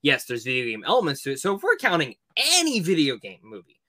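A man's voice: fairly high pitch, huge pitch swings, fast talker, sharp tone, no echo, studio quality. A man co-hosting a podcast, giving emphatic opinions in casual movie talk. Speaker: podcast co-host.

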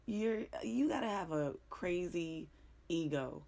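Raspy, low-voiced woman sports host. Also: lively intonation, slow pace, mellow. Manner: frank, joking, rambling, with mild cursing. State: hoarse. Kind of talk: casual sports talk monologue.

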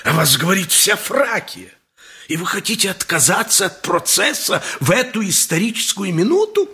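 A fee-hungry, high-flown lawyer from a radio drama. He declaims in a tense, very husky voice with animated intonation, selling lofty ideals. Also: moderate pace, full character voice. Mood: grandly persuasive. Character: grandiose, persuasive, fee-hungry lawyer to the well-off. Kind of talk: 1980s radio play acting.

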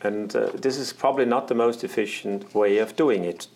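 Executive man being interviewed, lowish voice, hesitant, lots of filler sounds. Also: darkish tone. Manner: halting, many fillers and restarts. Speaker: air traffic management executive. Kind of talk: interview answers.

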